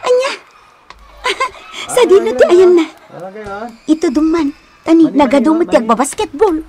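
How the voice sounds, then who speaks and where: A giggly, teasing Filipino woman playing matchmaker in a radio drama. Her pitch is high and swoops around freely. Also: expressive, a little slow, crowd noise. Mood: breezy. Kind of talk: Ilocano radio drama acting.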